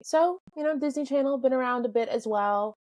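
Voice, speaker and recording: A woman's voice: high voice, brisk, darkish tone, dry recording. A woman co-hosting a podcast, upbeat and giving background facts. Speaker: podcast co-host. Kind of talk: casual podcast chat.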